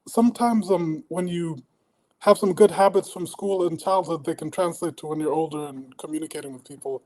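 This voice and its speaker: A man who grew up in Denmark, a poet guest on a podcast, speaking thoughtfully in casual chat and often pausing to find his words. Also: a little high, a little mellow, low-quality recording. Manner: thoughtful, searching for words with frequent pauses.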